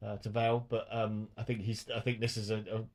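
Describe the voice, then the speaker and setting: A man's voice: fairly low pitch, completely flat delivery, a little fast, darkish tone. A man, chatting casually and hesitantly as a podcast co-host. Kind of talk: casual chat. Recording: faint noise and clicks.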